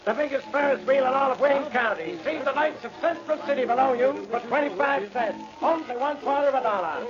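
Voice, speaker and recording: An actor playing a brash carnival barker in a 1940s radio drama, hawking rides in a singsong patter. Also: male voice, very high-pitched, brisk, hardly any pauses. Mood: hawking, excited. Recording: dull vintage radio, music behind.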